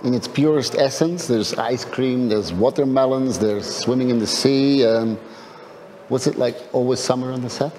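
A man's voice: medium pitch, normal speed, darkish tone. A middle-aged man hosting a festival interview, asking playful, complimentary questions. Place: festival press area, large hall. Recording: hall reverb, faint background noise.

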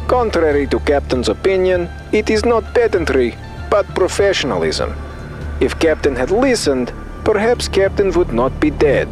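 A pedantic security guard files formal grievances in a video game. His voice is high and lively, and he leaves out articles as he goes. Music plays behind him. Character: pedantic, aggrieved male oil rig security guard. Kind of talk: recorded complaint log in a video game.

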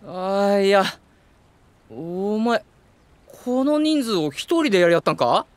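A man acting an easygoing, well-meaning young high school teacher with a Kansai accent, mild and gently scolding. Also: lively intonation, slow, no echo. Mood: taken aback, chiding. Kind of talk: Japanese voice drama acting. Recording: music behind the voice.

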